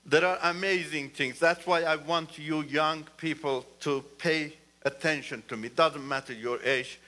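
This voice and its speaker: Man, guest church speaker, earnest, emphatic, bright tone. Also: a little high, fairly even intonation, a little slow. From Iran. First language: Turkish. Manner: earnest, repeats "you know", rises emphatically. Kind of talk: testimony talk in church.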